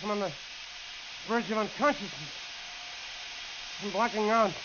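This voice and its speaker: An actor playing a lone test pilot, awed and straining, in a halting, dramatic old-time radio monologue. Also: male voice, high-pitched, fairly even intonation, noisy background, close to an everyday voice. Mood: alarmed. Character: male test pilot alone in a rocket plane, awed, straining. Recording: dull, lo-fi vintage radio recording.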